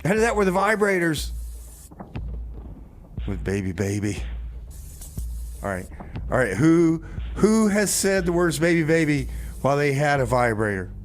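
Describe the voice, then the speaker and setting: A man's voice: fairly high pitch, sing-song, moderate pace, pauses often, a little hiss or hum. A man hosting a community radio music show, chatting casually between songs and comedy bits. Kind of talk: casual on-air DJ chat.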